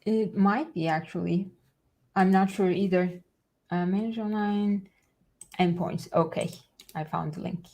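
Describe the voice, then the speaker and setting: A woman co-hosting a casual live stream over a video call, friendly and quick to laugh. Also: lowish voice, steady tone, vocal fry, warm tone. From Turkey. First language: Turkish. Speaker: co-host. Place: home room, indoors.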